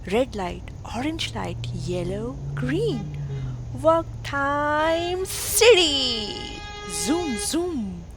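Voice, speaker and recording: A woman with a fairly high voice performs a children's rhyming picture book. Her pitch swoops widely and she delivers each short phrase slowly and rhythmically, with theatrical exclamations. Music plays behind her. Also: low-quality recording. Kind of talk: animated picture-book read-aloud for preschoolers.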